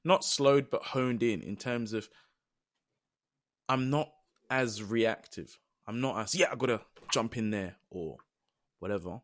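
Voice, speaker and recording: A young man from the UK co-hosting a casual podcast, earnest and reflective as he builds long, looping thoughts. His pitch sits in an ordinary middle range, and he often trails off softly at the end of a phrase. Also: a little slow, long pauses. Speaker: podcast co-host.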